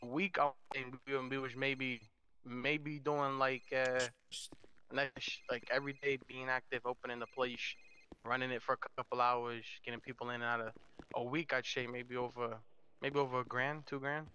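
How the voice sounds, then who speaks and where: A man playing a slangy, halting mafia underling in a crime roleplay game, over slightly dull lo-fi voice chat. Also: mid-range voice, little pitch movement, sharp tone, slight background noise, natural voice. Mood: explaining, frank. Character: street-talking mafia underling who manages the family business.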